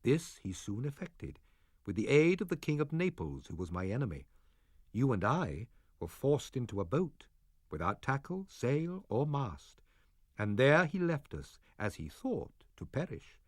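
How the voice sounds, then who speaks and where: A man reading as a husky old sorcerer. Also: mid-range voice, big pitch swings, measured pace, no echo, clean studio sound. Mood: solemn. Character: aged male sorcerer, stern but loving father. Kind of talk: audiobook drama reading.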